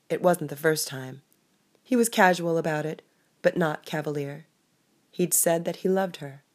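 A woman narrates fiction in a low-pitched voice. She is the author, reading her own book aloud in audiobook style. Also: a little mellow.

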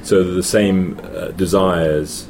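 A man's voice: deep voice, steady tone, slow pace. A man in his fifties with an English accent, a podcast guest telling reflective anecdotes in casual chat. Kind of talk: casual chat over a pint. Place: noisy hotel. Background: ambient chatter.

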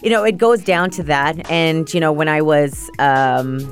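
A woman's voice: deep voice, expressive, a little slow, soft background music. Chatty woman from Malaysia, studio guest. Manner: chatty, playful, with frequent fillers and laughs. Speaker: guest, fitness athlete. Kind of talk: radio interview. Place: radio studio.

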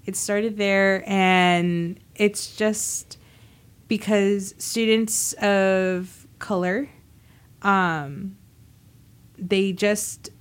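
A woman's voice: mid-range voice, steady tone, very slow, smooth. A young woman, sick at the moment, chatting on a podcast and often searching for her words. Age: young adult. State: sick, under the weather. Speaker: podcast co-host. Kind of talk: casual chat.

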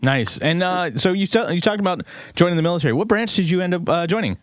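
A man's voice: high-pitched, lively intonation, fast talker, no room sound. A man hosting an interview podcast, chatting casually and upbeat, with lots of fillers and restarts. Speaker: podcast host.

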